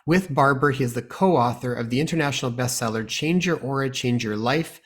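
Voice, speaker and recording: A man's voice: mid-range voice, brisk, husky. A man hosting a podcast, introducing his guest in a friendly, rambling way. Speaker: podcast host. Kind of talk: casual podcast interview.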